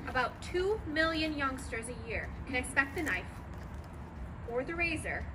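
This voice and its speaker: A high female voice reads a factual script from a stage, picked up at a distance amid plenty of background noise. She phrases it gravely and emphatically, like a measured announcement. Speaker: reader in a staged performance. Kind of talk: scripted factual narration read aloud. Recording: distant mic, noisy live venue.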